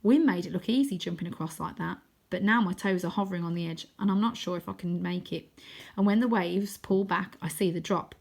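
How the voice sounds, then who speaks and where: A woman's voice: lowish voice, a little fast, gravelly, a little mellow. Woman reading a children's adventure story. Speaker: storybook reader. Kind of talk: expressive children's audiobook narration.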